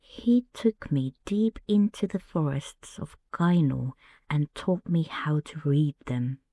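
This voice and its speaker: A woman from Finland with a Finnish accent, hosting a soft-spoken bedtime podcast and telling personal stories in a gentle, hushed way. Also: low voice, dry recording. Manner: slow, hushed, gentle delivery. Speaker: podcast host.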